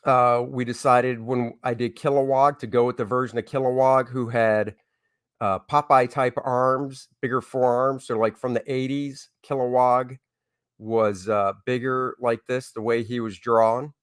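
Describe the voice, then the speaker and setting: A man's voice: mid-range voice, level tone, unhurried, fairly bright tone, clean tone, no echo, studio quality. A man hosting an art livestream, chatting casually to his viewers in an easygoing, rambling way. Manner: easygoing, rambling, reads and answers chat. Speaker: comic artist hosting a livestream.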